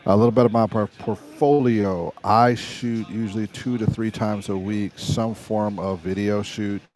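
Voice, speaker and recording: A middle-aged man with a raspy voice, hosting a casual livestream about cameras in a loose, stop-start way. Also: lowish voice, fairly even intonation, a little slow, darkish tone. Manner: conversational, stop-start, salesy about gear. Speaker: livestream host and videographer.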